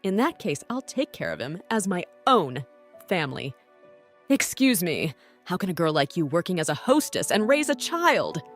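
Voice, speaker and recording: Lively outspoken young aunt, voiced by a woman. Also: fairly low pitch, normal speed, soft background music. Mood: scornful. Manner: sharp-tongued, lively. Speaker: aunt who works as a hostess. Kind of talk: anime dub acting.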